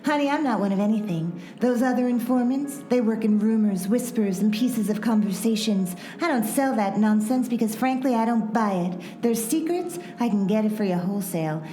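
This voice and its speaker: Brassy noir waitress-informant, played by a woman: knowing and curt, mellow tone, roomy echo. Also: on the high side, moderate pace, few pauses, close to an everyday voice. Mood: curt sassy. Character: brassy, world-weary female server and underworld informant. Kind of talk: noir radio play acting. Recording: roomy echo, faint music bed.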